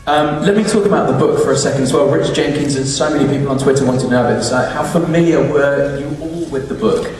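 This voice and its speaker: A man's voice: medium pitch, fast talker, few pauses, a little mellow. A man moderating a live panel in a casual, chatty, informal way. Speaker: Q&A host. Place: large cinema auditorium, public Q&A. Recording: echoey cinema hall, far-off, noisy.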